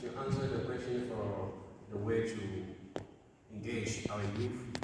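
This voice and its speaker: A man's voice: mid-range voice, steady tone, unhurried. Earnest man answering in an echoey hall. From Somalia. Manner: earnest, emphatic, repeats points. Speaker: council representative answering questions. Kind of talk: public Q&A answer. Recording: echoey hall, distant mic, faint noise.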